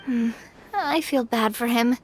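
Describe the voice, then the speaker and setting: A woman voicing a bubbly little girl companion who chimes in with cheeky, bright remarks. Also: fairly high pitch, unhurried, husky.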